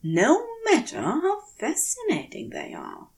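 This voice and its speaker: Woman plays lovestruck older gentleman for audio fiction: polite, fussy, high-lifted, swooping lines. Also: wildly swooping pitch, takes it slowly, gravelly, exaggerated voice. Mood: self-chiding.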